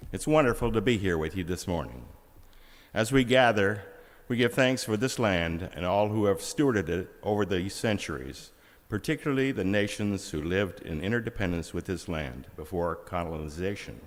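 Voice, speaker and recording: A man serving as worship associate, leading a church service with a measured, solemn reading. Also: lowish voice. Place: large church sanctuary. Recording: reverberant hall.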